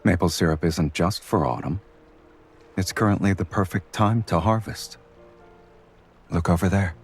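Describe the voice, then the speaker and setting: An actor playing a calm man on a date in a romance game, with a dry, unhurried, teasing way of talking. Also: deep voice, slightly rough, soft background music. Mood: calm, wry. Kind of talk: video game romance acting.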